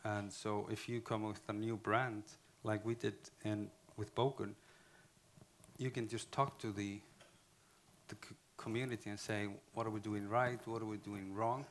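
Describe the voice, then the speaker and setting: A man's voice: lowish voice, level tone, a little hiss or hum. A man with an Icelandic accent giving a conference talk in English, often restarting and correcting himself. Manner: halting, restarts phrases, self-corrects. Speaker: conference speaker.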